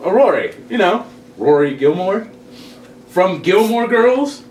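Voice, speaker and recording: An excitable, teasing guy played by a man gossips with a buddy in a rather high, lively voice. It is a comedy table read, picked up in a roomy space. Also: takes it slowly, full character voice. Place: indoor room, group table read. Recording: echoey room, distant mic, faint noise.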